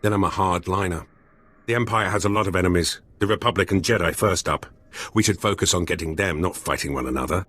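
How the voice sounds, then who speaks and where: Very deep, husky hard-line veteran spy. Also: male voice, fast, a bit bright. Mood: gruff, stern. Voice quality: gruff, husky. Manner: blunt, terse. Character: gruff hard-line veteran male spy turned fixer. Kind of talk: video game voice acting.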